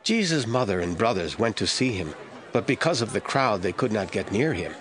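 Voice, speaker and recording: A man narrating a Bible audio drama as scripted, expressive storytelling. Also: on the low side, normal speed, music in the background.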